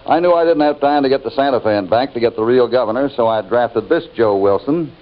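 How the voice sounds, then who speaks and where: A man playing a calm, assured cowboy hero in an old radio western, unruffled, wry and authoritative. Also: mid-range voice, fast talker, close to an everyday voice. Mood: relaxed, explaining. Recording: muffled old radio recording.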